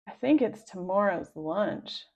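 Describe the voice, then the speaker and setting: A woman voicing an excitable, anxious schoolboy sidekick in a children's storybook. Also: medium pitch, a little slow, vocal fry, husky, lo-fi sound, heavily acted. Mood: casual, puzzled.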